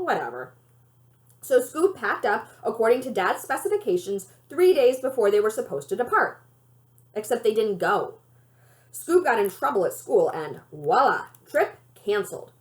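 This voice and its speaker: A woman reading a children's novel aloud in an expressive storytelling style. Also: a little high. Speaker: library read-aloud reader.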